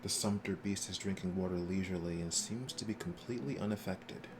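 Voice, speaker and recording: A man's voice: deep voice, brisk. A man streaming a video game, reading its text aloud and chatting casually with viewers. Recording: noisy stream with game ambience behind. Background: game sounds.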